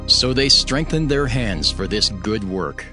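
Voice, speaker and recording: A man's voice: fairly low pitch, big pitch swings, measured pace. A man giving a dramatic scripted narration of scripture, solemn and declamatory. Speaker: Bible narrator, voicing Nehemiah. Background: music.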